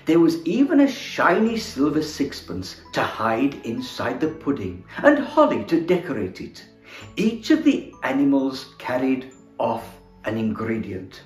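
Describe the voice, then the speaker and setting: A man reading a children's picture book aloud in an expressive, theatrical manner, as a storyteller playing Santa. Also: mid-range voice, measured pace. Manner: animated, theatrical, with chuckles. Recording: roomy echo, music behind. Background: music.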